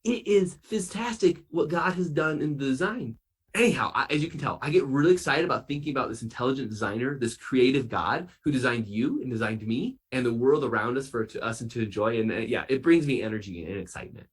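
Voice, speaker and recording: A man playing an excitable, wonder-filled science doctor for children, gushing and emphatic. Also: medium pitch, a little fast, breathy edge. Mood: awestruck, excited. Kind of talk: kids' science show character.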